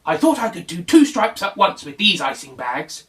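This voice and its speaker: A man's voice: sits high, big pitch swings, normal speed, fairly bright tone. A man voicing an amiable, muddled bear in a children's storybook. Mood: matter-of-fact. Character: amiable, muddled male bear who bakes. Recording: voice distant from the mic.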